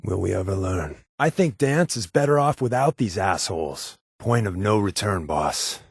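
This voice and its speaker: A man's voice: fairly low pitch, animated, normal speed, slightly rough, no echo, studio quality. An actor playing a glib, wary but loyal secret-agent companion in a video game, sardonic and colloquial in his delivery. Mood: worried, serious. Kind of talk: scripted video game character dialogue.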